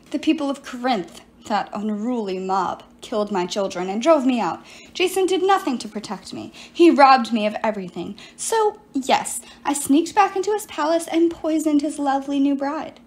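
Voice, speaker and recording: A woman's voice: high-pitched, expressive, moderate pace. A woman voicing a scheming, vengeful ancient sorceress in an audiobook, theatrical and coaxing. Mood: bitter. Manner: coaxing, self-pitying, theatrical. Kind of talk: audiobook character voice.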